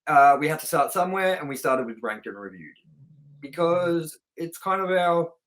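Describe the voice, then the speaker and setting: A man's voice: a little high, lively intonation, moderate pace, fairly bright tone, poor audio. A man co-hosting a movie review show in casual, unscripted chat, talking informally and straight to the audience. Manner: informal, chatty, addresses viewers directly.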